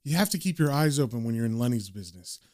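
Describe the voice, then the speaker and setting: A man's voice: mid-range voice, expressive, fast talker, a little mellow, pitched below the performer's own voice, natural voice. A man reading as a comic book's caption narrator, dry, knowing and slangy in British idiom. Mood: wry.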